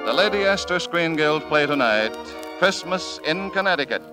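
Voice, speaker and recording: A man with an American accent announcing formally and grandly in measured 1940s radio style. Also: a little high, lively intonation, rough recording. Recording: old 1940s radio recording, music behind. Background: music.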